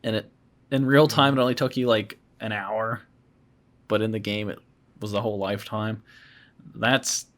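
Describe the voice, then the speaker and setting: A man with a slightly low voice hosts a casual podcast. He talks in a laid-back, noncommittal manner, as in an easy chat about whatever comes up. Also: fairly even intonation, moderate pace, pauses often, fairly bright tone. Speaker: podcast host and video creator.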